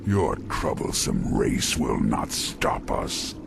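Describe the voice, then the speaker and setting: A wrathful, scheming demon lord in a fantasy game. The voice is deep and heavily gravelled, swinging widely in pitch with theatrical menace. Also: male voice, sing-song, very noisy, full character voice. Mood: gloating menace. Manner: slow, gloating, theatrical. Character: menacing male dreadlord demon, cunning and wrathful. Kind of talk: dramatic video game villain acting.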